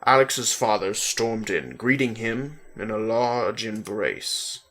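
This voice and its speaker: A narrator giving an expressive, deliberate dramatic reading of a story. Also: medium pitch.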